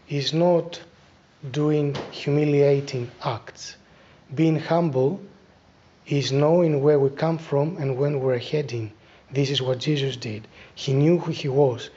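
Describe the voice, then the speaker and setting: Mellow Greek-accented preacher, a man. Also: fairly high pitch, dull audio. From Greece. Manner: deliberate, instructive, with pauses. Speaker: Orthodox abbot giving a talk. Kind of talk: spiritual talk, reflective preaching. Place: small church, indoors at a lectern.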